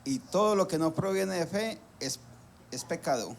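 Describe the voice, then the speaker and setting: A man reading a Bible verse aloud in church, careful and halting, stumbling over a word. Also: sits high, lively intonation, vocal fry. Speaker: church member reading scripture.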